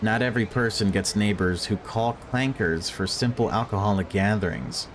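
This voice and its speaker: A man reading a visual novel aloud as a let's player, mixing expressive narration with wry, casual asides. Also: lowish voice, moderate pace, slight background noise. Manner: wry, joking commentary between read passages.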